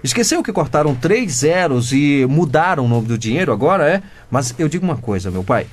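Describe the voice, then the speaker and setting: A rebellious teenage son, in a comedic radio drama. His voice sits high and leaps about with lively intonation. He talks back in slang and puts on the pompous air of a crusading editor. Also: brisk. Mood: self-important. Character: cheeky rebellious 15-year-old son, self-styled newspaper editor.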